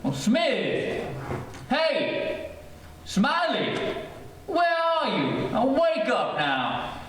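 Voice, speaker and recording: A man's voice: extremely high pitch, huge pitch swings, very slow, a bit bright, exaggerated voice. A man acting a folksy, eager real estate salesman with a breezy, persuasive manner in an old-time radio drama. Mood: calling out. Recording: distant, cavernous echoey stage, faint noise.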